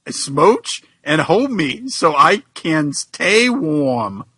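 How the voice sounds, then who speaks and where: A man's voice: fairly high pitch, very animated, very slow, pushed and bright, husky, no room sound, exaggerated voice. A man voicing a freezing, needy cartoon fox who begs a friend with melodramatic pleading, in a fan fiction reading. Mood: desperate pleading.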